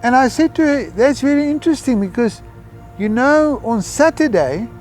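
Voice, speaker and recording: A middle-aged South African man with an Afrikaans accent tells a personal testimony in a hesitant, reflective way, with frequent pauses. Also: very high voice, expressive, darkish tone. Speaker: missionary giving a testimony. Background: background music.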